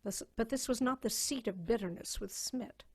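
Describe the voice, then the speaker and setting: A woman narrator giving a measured, literary dramatic reading of a story over a video call. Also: fairly high pitch, husky.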